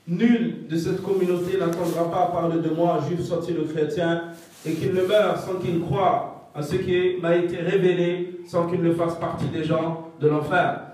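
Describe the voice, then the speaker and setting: A man's voice: sits high, darkish tone, heavy reverb, recorded from a distance, poor audio. A man whose first language is not French, giving a religious lesson that relays a scholar's answers, and often stopping to search for the right French words. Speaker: religious teacher.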